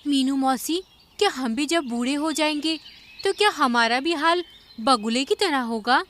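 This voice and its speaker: A woman's voice: sits high, unhurried, a bit bright, slight background noise. A woman voicing a kind, inquisitive young female sparrow in a cartoon, earnest and questioning. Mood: earnest concern.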